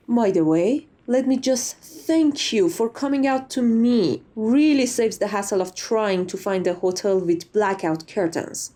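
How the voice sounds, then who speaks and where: Woman playing a mellow, theatrical, chatty lady vampire. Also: mid-range voice. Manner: playfully dramatic, hammy asides, chatty. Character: chatty, theatrical, vain but silly female vampire, over a century old. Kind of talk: ASMR roleplay, in-character interview monologue.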